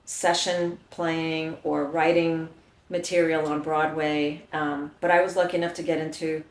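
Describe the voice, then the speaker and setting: A middle-aged woman from New York, telling her life story in a lively way as an interview guest, acting out quotes and breaking into song. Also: low-pitched, measured pace, echoey room. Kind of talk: casual interview chat.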